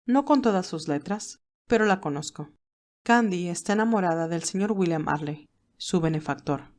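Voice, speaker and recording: A woman playing a wise, gentle older motherly mentor, speaking in a measured, reassuring way in an audio drama. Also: lowish voice, expressive, lightly acted. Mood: knowing. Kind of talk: audio drama character acting.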